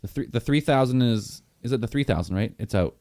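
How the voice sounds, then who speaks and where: A man's voice: mid-range voice, lively intonation, a little fast, darkish tone, no room sound. A man co-hosting a podcast, chatting casually and joking, swearing freely. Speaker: podcast co-host. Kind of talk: casual chat.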